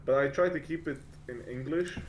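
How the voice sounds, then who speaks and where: Man in his twenties, streamer being interviewed, medium pitch, easygoing informal talk, some background noise on the recording. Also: fairly quick, dull audio. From born in France, grew up in Lebanon. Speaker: interview guest, game streamer. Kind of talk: casual conversational interview.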